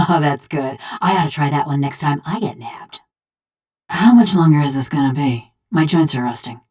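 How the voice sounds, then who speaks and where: A woman's voice: deep voice, lively intonation, normal speed, a little mellow. A woman voicing a wry, vengeful female machine of brass in a video game, with a folksy, drawling American accent. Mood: sardonic. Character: sardonic, vengeful female construct of brass, a killer. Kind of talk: video game character voice lines.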